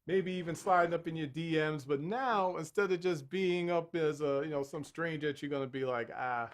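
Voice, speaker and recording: A man hosting a live dating game show, energetic and laughing as he hypes up the contestants. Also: on the high side, normal speed.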